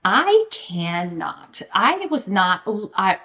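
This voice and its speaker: A woman chatting casually and emphatically to viewers as a book vlogger, with animated, informal delivery and a self-deprecating sense of humour. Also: mid-range voice, very slow. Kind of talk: casual chatty vlog to camera.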